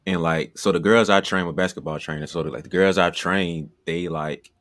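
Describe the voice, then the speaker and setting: Very deep-voiced man host. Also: fairly even intonation, moderate pace, fairly bright tone, no echo, studio quality. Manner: rambling, frequent "like". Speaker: podcast host, basketball trainer. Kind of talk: casual interview questions.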